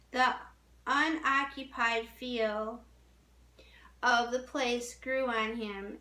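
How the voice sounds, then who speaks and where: A woman reading a story aloud as narration, deliberately, with small stumbles over the text, in a roomy, echoing recording. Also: a little high, pushed and bright.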